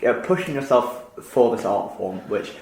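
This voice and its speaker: A man hosts a podcast, chatting loosely and rambling through fillers and false starts, often breaking into laughter. His voice sits at an ordinary middle pitch. The recording has a noticeable echoey room sound. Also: animated, normal speed, a little mellow. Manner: rambling, lots of fillers and restarts, laughs easily. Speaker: podcast host. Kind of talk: casual chat.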